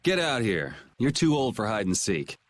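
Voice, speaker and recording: A man's voice: mid-range voice, big pitch swings, normal speed, slight background noise, close to an everyday voice. An actor playing a cool, cocky young swordsman in a video game, curt and confident. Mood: cool defiance. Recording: music under the dialogue.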